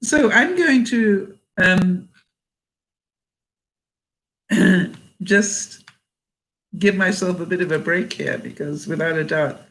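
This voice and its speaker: An older Canadian woman reads jokes aloud in a relaxed vlog. Her voice is hoarse and scratchy, and she takes her time. She often breaks into laughter at her own punchlines. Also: lowish voice, slow pace, pauses often. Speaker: vlogger.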